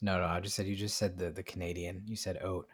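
A man with a slightly low voice chats casually. His delivery is hesitant and apologetic, with phrases that stop and start. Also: little pitch movement, fast talker, husky. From Vermont, United States. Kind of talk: casual chat.

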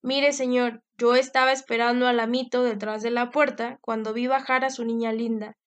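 A woman's voice: fairly high pitch, little pitch movement, moderate pace, close to an everyday voice. A woman voicing a polite adult manservant who speaks formally and deferentially. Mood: courteous.

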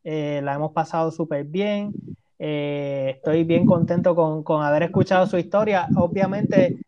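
A man's voice: on the high side, moderate pace, muffled recording. A man co-hosting an interview thanks the guest at length, with lots of eh and verdad fillers. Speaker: co-host. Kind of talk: casual interview wrap-up.

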